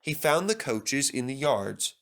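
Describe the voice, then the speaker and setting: Slow, animated man reading a children's story. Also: mid-range voice. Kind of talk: scripted children's story narration.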